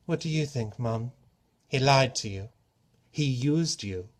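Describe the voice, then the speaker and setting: A man's voice: mid-range voice, animated, takes it slowly, pitched above the performer's own voice. A man voicing a guarded, skeptical grown daughter in a storybook, with a direct, questioning manner. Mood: accusing. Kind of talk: storybook character reading.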